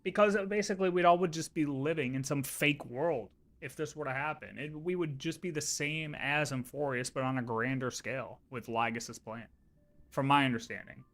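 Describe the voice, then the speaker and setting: A man's voice: medium pitch, normal speed, fairly bright tone. A man livestreaming with casual, off-the-cuff commentary, emphatic and repeating himself to make his point. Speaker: livestreamer reacting to a video.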